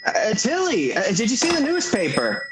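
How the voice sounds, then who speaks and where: A man playing an earnest, excitable small-town businessman in a radio play, stammering and flustered as he talks. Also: sits high, normal speed, music in the background, rough recording. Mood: proud, excited. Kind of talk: radio play acting, old-time American drama. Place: remote home recording for virtual play.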